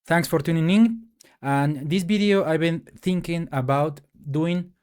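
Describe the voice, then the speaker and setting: A man's voice: on the high side, measured pace, darkish tone, clean tone. A man from Mexico, a native Spanish speaker with a Mexican accent, giving a casual, hesitant solo rant to camera with many fillers and restarts. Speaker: tech YouTuber.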